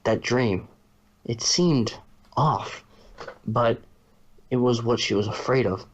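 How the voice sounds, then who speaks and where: A man's voice: medium pitch, takes it slowly, lo-fi sound, a little hiss or hum. A man narrating a fan fiction story as a reader.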